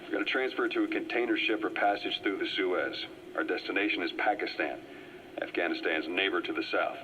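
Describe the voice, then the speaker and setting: An actor playing a calm, well-informed agent who briefs a comrade in a measured, explanatory way. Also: male voice, low-pitched, a little hiss or hum. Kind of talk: video game cutscene voice acting. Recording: muffled cassette tape audio.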